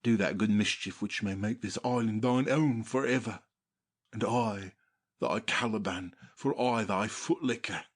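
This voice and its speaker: A man playing a brutish monster slave, fawning yet murderous, with a rough, urgent voice in a dramatic Shakespearean audiobook. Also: fairly low pitch, unhurried. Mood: fawning, furtive. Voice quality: husky, rough. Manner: urgent, conspiratorial.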